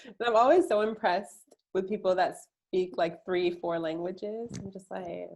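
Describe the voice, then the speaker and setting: A woman's voice: medium pitch, unhurried, gravelly, a little mellow. A woman co-hosting a podcast, easygoing in casual chat, laughing along and asking the guest questions. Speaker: podcast co-host.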